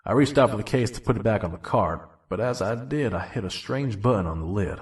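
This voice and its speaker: A man playing a world-weary, pragmatic pony junk peddler in an audio drama, gruff and brooding. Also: on the low side, animated, fairly quick, recorded from a distance, natural voice. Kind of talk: audio drama dialogue and first-person narration.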